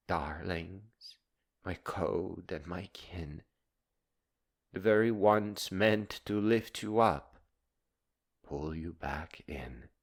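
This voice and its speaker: A man delivering a dramatized poetic monologue in a solemn, grandiloquent, theatrical manner. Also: deep voice.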